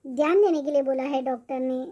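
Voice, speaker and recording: A woman's voice: high-pitched, brisk, dark tone, lo-fi sound, natural voice. A woman voicing a put-upon young mother and daughter-in-law in a cartoon family drama, polite but aggrieved. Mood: polite, dutiful.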